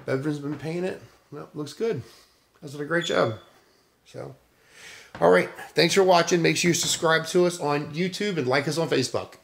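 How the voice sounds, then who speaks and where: A man's voice: on the high side, normal speed, creaky. A middle-aged man, a renovation contractor, casually walking through a house with a handheld phone and talking about the work. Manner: casual, plain-spoken, upbeat. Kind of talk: walkthrough vlog. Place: house under renovation, indoors. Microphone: phone camera, handheld while walking. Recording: echoey empty rooms.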